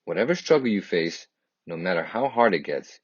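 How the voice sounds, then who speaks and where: A man reads a children's picture book aloud in a husky voice, with expressive, emphatic and dramatic phrasing. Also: low-pitched, moderate pace. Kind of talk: expressive read-aloud storytelling. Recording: dull, lo-fi, echoey room.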